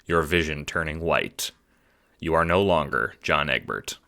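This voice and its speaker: A man's voice: a bass voice, expressive, measured pace, vocal fry, very noisy. A man narrating an audio drama in the second person, with dramatic storytelling delivery. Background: music.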